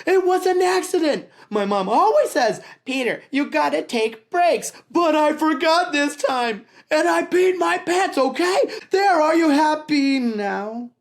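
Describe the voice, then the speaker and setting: A woman plays an anxious, embarrassed small boy in a read-aloud storybook. The voice is pitched high and strained, swinging about as he blurts out defensive, pleading lines. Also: expressive, unhurried, hardly any pauses. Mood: upset outburst.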